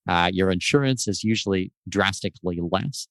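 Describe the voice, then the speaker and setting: Low-voiced man explaining residency. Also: fairly bright tone, dry recording, studio quality. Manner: explanatory, measured, gives lists of examples. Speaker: guest expert on residency and tax. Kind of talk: casual interview answers.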